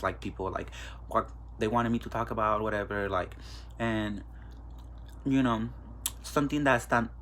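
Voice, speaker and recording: A man's voice: fairly low pitch, a bit bright, slight background noise. A young man with a Mexican accent hosting a casual solo podcast, chatty, loose and profane. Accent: Mexican Spanish. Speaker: podcast host.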